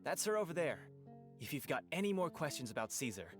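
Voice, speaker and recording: A man's voice: on the high side, sing-song, a little fast, over music. An actor voicing a helpful townsman in a video game, talking in a casual, matter-of-fact way.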